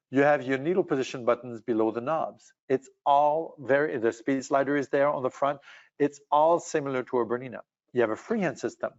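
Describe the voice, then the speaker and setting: An older man whose first language is French, chatting enthusiastically as an educator demonstrating sewing machines in a bright studio. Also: medium pitch, lo-fi sound. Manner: chatty, enthusiastic, frequent fillers and restarts. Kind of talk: product demonstration lesson. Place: bright studio with shelves, indoors.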